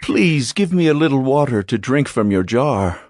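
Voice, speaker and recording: An actor playing a humble, devout servant, speaking earnestly and reverently in a dramatized scripture reading. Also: male voice, mid-range voice, animated, normal speed, darkish tone, natural voice. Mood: polite request.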